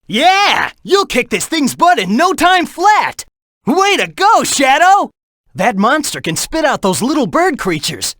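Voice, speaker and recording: A brash young male hedgehog hero from a video game, with an animated, swooping delivery. He sounds upbeat, playful and sure of himself, urgent when he calls out, in a dry, close recording. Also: sits high, dry recording, full character voice. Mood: encouraging, excited.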